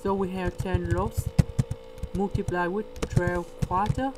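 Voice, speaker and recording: Brief: male tutor, slow deliberate walkthrough, mains hum under the voice. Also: high-pitched. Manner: slow, step-by-step explaining with pauses. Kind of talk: screen-recorded math lesson. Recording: steady electrical hum behind.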